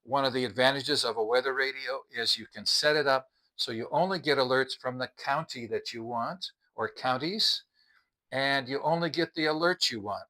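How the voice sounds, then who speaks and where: Bright-voiced man giving a product review. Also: mid-range voice, normal speed, poor audio. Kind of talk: casual explanatory product review.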